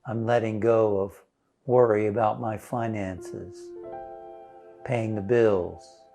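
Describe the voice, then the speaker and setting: A man leading a guided meditation as a minister, speaking in a gentle, soothing, unhurried way with long pauses. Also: fairly low pitch, fairly even intonation. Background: instrumental music.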